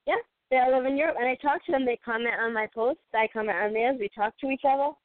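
A woman's voice: a little high, fast talker, no echo. Chatty woman with a Boston accent on a phone line. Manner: chatty, laughs often. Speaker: caller. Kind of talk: casual chat on a phone-in show. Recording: dry tinny phone line.

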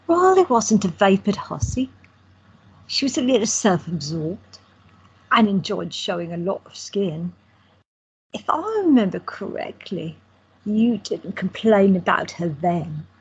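Woman doing a quiet dry-humored photographer girl, raspy voice, muffled lo-fi sound. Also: mid-range voice, sing-song, a little slow, full character voice. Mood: teasing. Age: 20s. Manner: dry, teasing banter. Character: Ziva, wry young woman photographer, quiet, teasing. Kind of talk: amateur audio drama acting. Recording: dull lo-fi audio.

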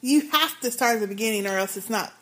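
A woman's voice: a little high, quick pace, fairly bright tone, low-quality recording. A woman co-hosting a podcast, chatting casually and reading out listener emails with playful laughs. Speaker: podcast co-host.

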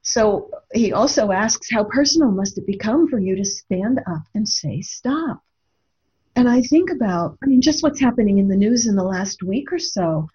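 A woman talks thoughtfully in a reflective discussion, putting questions to the listener. Her tone is mellow and rather husky, at a middling pitch. The recording is a little dull. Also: lively intonation, moderate pace. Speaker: radio show co-host and author.

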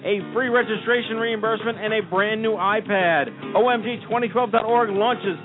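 A man with a high voice for his sex reads promos and chats with his guest in a hyped, playful way. The sound is thin and phone-like, and music plays clearly behind him. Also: fairly even intonation, moderate pace. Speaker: co-host. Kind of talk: radio show hosting and news reading.

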